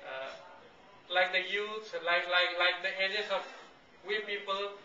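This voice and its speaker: Earnest man, bright tense voice. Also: a little high, a little hiss or hum. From Bhutan. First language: Nepali. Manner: earnest, halting, repeats phrases. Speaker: refugee guest speaker. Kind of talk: public talk. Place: meeting room, indoors. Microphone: far camcorder. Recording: echoey, distant, dull camcorder audio.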